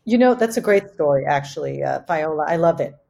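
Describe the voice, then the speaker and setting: A woman with a slightly low voice talks candidly about business. She is emphatic and motivational, like a founder addressing peers, and she restates phrases for stress. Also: animated, fairly quick, vocal fry, mellow. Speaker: startup co-founder, panel speaker.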